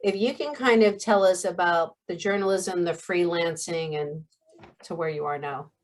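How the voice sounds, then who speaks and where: A woman's voice: on the low side, moderate pace. Woman host asking guiding questions. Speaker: interview host. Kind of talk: conversational interview. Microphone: video call.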